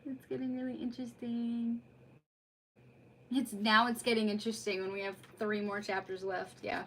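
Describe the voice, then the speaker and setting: A woman's voice: on the high side, level tone, normal speed, fairly bright tone, poor audio. A woman chatting casually on a livestream in an effusive, rambling way, as host of a read-aloud. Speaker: streamer hosting a live read-aloud.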